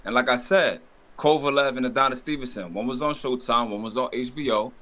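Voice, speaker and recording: A man in a loose podcast debate talks in an animated, chatty way, cutting in with quick agreement and pushback. His voice sits at a plain middle pitch. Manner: animated, cuts in with "exactly" and "bro". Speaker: podcast co-host. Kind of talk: casual debate.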